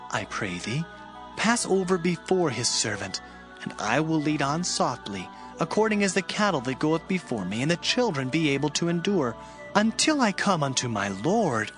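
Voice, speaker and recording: A man's voice: on the high side, a bit bright. An actor playing a humble older herdsman who speaks deferentially in a dramatized scripture reading. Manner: deferential, pleading.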